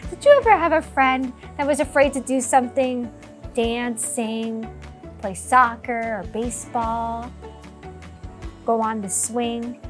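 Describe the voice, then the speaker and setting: A woman reading a children's story aloud as a storytime teacher, in an expressive narrating style. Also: fairly high pitch, slow, faint music behind.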